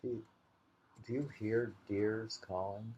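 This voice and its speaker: A man's voice: lowish voice, fairly even intonation, slow, a little mellow, lo-fi sound, slight background noise. A man reading haiku aloud, repeating lines and musing on them in casual commentary. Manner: musing, repeats each poem. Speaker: reader and host of a poetry reading.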